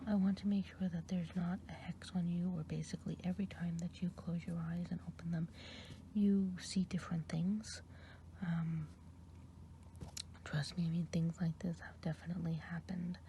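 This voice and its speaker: A woman whispering softly as a kindly, chatty forest witch healer in an ASMR roleplay, rambling gently and gossiping. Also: lowish voice, little pitch movement, moderate pace, a little hiss or hum, natural voice. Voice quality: soft whispering. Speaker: ASMR artist.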